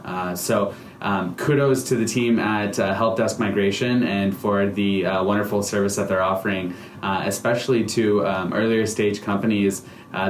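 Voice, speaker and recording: Earnest American man with a low voice. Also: slight background noise. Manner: earnest, full of "uh" and "um" fillers. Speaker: company founder giving a customer testimonial. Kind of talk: testimonial to camera, semi-prepared speech. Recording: echoey room.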